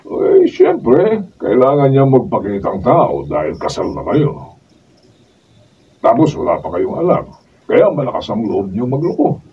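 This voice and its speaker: A man's voice: mid-range voice, huge pitch swings, unhurried, highs cut off. A Filipino man acting a blunt, disapproving older father, exasperated and emphatic, in a radio drama. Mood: exasperated, scolding. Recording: distant mic, faint background noise.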